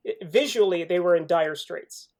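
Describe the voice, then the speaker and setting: A man's voice: sits high, moderate pace, creaky, a little mellow. Male podcast panelist, analytical but casual film talk, thoughtful, self-qualifying delivery.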